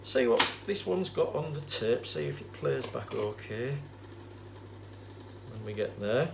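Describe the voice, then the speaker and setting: A man's voice: medium pitch, measured pace. A man giving an informal, unscripted equipment demonstration, explaining things in a matter-of-fact, slightly rambling and hesitant way. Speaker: presenter demonstrating vintage tape recorders. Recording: clear steady background noise.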